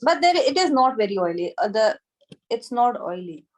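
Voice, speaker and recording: A woman in her thirties with an Indian accent, teaching an informal online class in a halting way, checking in as she goes. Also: fairly high pitch, very animated. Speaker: anatomy teacher at a yoga school. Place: small room with a whiteboard, indoors. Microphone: device camera a short distance away, video call.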